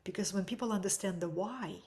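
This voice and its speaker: A woman's voice: medium pitch, brisk, a little mellow. Russian-accented woman teaching a webinar. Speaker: energy healing teacher.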